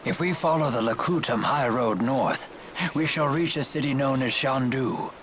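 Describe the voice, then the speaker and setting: A man voicing a learned, genteel older advisor in a video game, formal, polite and measured. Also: mid-range voice, lightly acted. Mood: reassuring. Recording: game audio with clear noise.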